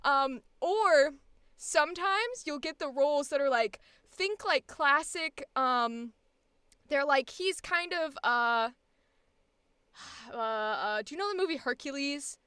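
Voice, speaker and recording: A woman voice actor chatting casually about auditions, animated and profane, laughing often. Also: high-pitched, slow, no room sound. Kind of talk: casual chat.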